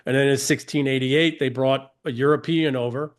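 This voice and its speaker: A man's voice: mid-range voice, steady tone, moderate pace. A man from the United States, a guest on an interview podcast, speaking emphatically, asking rhetorical questions and often restarting sentences.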